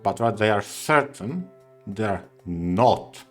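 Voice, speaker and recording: A man's voice: fairly low pitch, expressive, takes it slowly. A man co-hosting a podcast, putting questions to a guest in formal, carefully worded conversational speech. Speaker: podcast co-host. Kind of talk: conversational interviewing. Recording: music mixed under the voice. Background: music.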